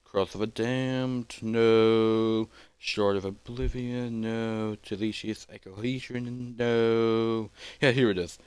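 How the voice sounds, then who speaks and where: A man's voice: lowish voice, big pitch swings, a little slow, a bit bright, clean tone, dry recording. A man voicing a cautious, scholarly adventurer who mutters hesitantly to himself in a fantasy story. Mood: searching, astonished.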